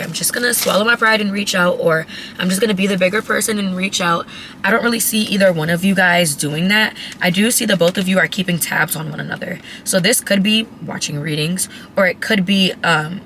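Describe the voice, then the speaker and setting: A woman who has lost her voice, raspy and cracking, giving a chatty, blunt tarot reading to her viewers. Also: lowish voice, fairly bright tone, a little hiss or hum. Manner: chatty, blunt, swears casually. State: hoarse, voice cracking. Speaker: tarot reader. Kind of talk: casual tarot reading to camera.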